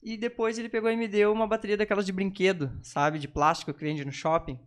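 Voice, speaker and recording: A man's voice: sits high, fairly even intonation, fast. A man telling personal stories as a podcast guest, informal and conversational.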